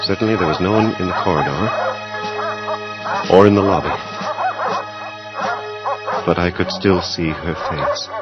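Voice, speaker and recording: A man's voice: low voice, measured pace, pauses often. An actor playing a desperate scientist on the run, telling his story urgently and melodramatically in a suspense radio drama. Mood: tense, haunted. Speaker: narrator and lead character. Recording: dull lo-fi audio under loud music. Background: loud music.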